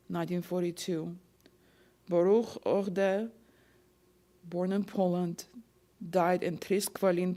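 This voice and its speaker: A woman reads a long list of names aloud at a measured, unhurried pace. Her voice is low and stays flat and even from line to line, and she now and then stumbles over a hard name before correcting herself. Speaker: name reader at a remembrance ceremony. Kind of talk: solemn reading of a list of names.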